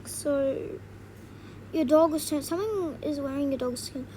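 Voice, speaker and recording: A young woman reacts casually, with blunt slang. Her voice is high, over faint background noise. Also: unhurried, dark tone. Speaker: reaction video host.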